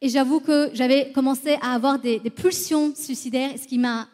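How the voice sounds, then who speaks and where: High-voiced Iranian-born woman in echoing hall. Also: steady tone, moderate pace, darkish tone. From Iran, moved to France at eleven. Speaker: guest giving testimony. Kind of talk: personal church testimony. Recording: reverberant hall, long echo.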